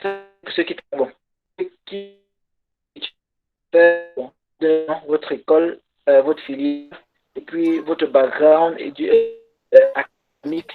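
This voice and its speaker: A man whose first language is English, giving a workshop talk in halting French over a video call and pausing to search for words. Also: on the high side, big pitch swings, music in the background. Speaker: conference speaker. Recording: tinny phone-like call audio.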